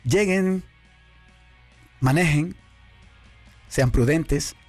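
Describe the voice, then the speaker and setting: A man's voice: on the high side, drawn-out words, no echo, faint music behind. Effusive man hosting a radio show. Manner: warm, effusive greetings with hesitant fillers. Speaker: radio host. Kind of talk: live radio interview. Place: radio studio. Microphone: studio microphone.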